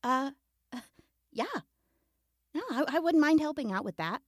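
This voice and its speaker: A woman's voice: high-pitched, animated, normal speed, no echo, studio quality. A woman playing a hesitant, agreeable young wasteland traveller in an audio drama, speaking informally. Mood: shy, agreeable. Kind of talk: post-apocalyptic audio drama acting.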